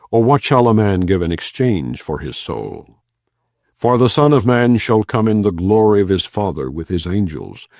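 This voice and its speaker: A man giving a measured, solemn reading of archaic scripture as an audiobook narrator, without dramatizing it. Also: low-pitched, darkish tone, no room sound, studio quality.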